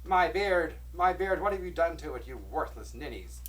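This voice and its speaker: A man's voice: a little high, sing-song, moderate pace, fairly bright tone, far from the mic, pitched above the performer's own voice. A man voicing a tiny, shriveled, bad-tempered old dwarf in a fairy tale, shrieking and scolding. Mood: enraged, shrieking. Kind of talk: fairy-tale storybook character voice.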